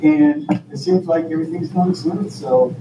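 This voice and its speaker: Older man, animated pitch, distant echoey recording. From Vermont, United States. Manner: halting, folksy, rambling. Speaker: school board member leading the meeting. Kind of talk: informal public meeting remarks. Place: indoor meeting room. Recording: echoey room, distant mic, noisy background.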